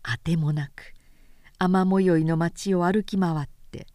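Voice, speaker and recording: Soft-spoken woman reading a novel aloud. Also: lowish voice, dry recording, studio quality. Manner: calm, measured, soft-spoken. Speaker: narrator. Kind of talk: literary reading.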